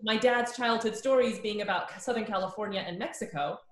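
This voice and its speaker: A woman in her thirties hosting a video-call conversation as a course instructor, chatty and thinking aloud with quick asides. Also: medium pitch, reverberant. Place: living room with bookshelves. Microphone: laptop webcam on a video call.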